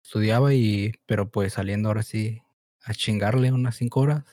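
A young man in his twenties speaks Spanish with a Mexican accent. His voice sits low and mellow, and he talks in a slow, laid-back way, full of fillers and slang. The recording is dry and close, with no room sound. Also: no echo. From born in the United States, raised in Mexico. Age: 20s. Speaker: guest. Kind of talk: casual chat.

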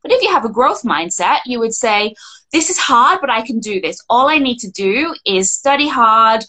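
A young adult woman, chatting casually as an employment coach, full of fillers and quick restarts. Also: a little high, animated, pushed and bright, poor audio. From Scotland. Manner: chatty, frequent fillers and restarts, laughs easily. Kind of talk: casual podcast chat.

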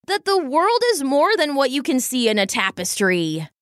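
Woman voicing a high, cheeky little weasel boy. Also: very animated, normal speed, a bit bright, no room sound, studio quality. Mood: coaxing. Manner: teasing, coaxing. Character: small, cheeky, earnest young weasel brother.

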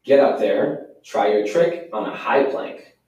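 A man's voice: mid-range voice, expressive, measured pace, a little mellow, dull audio, lightly acted. A man reading the lines of a pushy male circus owner in a blunt, bossy way. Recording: very echoey room, mic far off.

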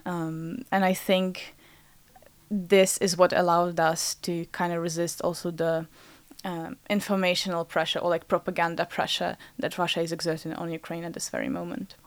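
A woman speaking as a guest on a discussion panel, pausing often with fillers. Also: fairly low pitch, no echo. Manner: halting, many fillers.

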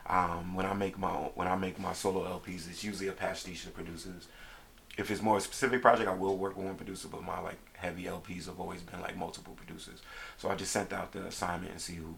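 A man from Brooklyn, a rapper as a guest, talking casually in a laid-back, meandering way with many pauses. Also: deep voice, level tone, creaky, fairly bright tone, slight background noise. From Brooklyn, New York. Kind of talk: casual interview chat.

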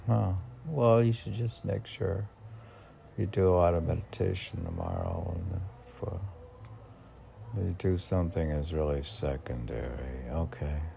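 A man reading poems aloud in a rambling manner, with muttered musing asides and questions to himself, in a gravelly voice. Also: low-pitched, a little slow, dark tone, slight background noise. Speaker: poet reading own poems.

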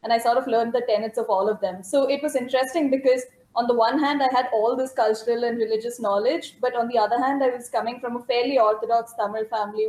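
A woman's voice: on the high side, darkish tone. A woman from India, a panelist, explaining her upbringing in a thoughtful, explanatory way. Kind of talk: casual panel discussion. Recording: echoey room.

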